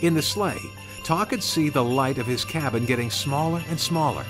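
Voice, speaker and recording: A man's voice: on the high side, normal speed. A man reading scripted storybook narration of a Christmas tale. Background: music.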